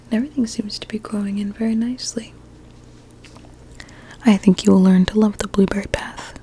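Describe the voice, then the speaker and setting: A woman playing a vain, sinister blueberry doctor in an ASMR medical roleplay, speaking in a soft breathy whisper with soothing menace. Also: medium pitch, steady tone, fairly quick. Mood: smugly pleased.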